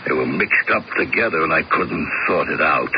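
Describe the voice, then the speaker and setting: A gravelly, sullen killer. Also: male voice, rumbling low, lively intonation, moderate pace, slight background noise. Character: tormented hired man who killed, sullen and defiant. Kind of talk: 1970s radio drama acting. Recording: lo-fi vintage radio broadcast.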